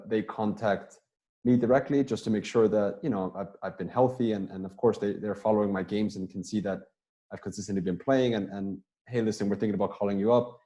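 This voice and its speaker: A young man, a soccer player, answering interview questions over a video call in a relaxed, rambling way, often repeating words as he talks. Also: fairly low pitch. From United States.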